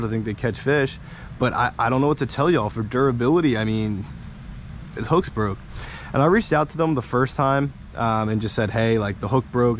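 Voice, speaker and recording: A man's voice: mid-range voice, dull audio. An American man in his thirties chatting casually and folksily to viewers as a fishing vlogger, outdoors on an action camera. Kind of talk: casual vlog chat while fishing. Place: outdoors by a small wooded creek. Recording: outdoor noise with insects and ambience.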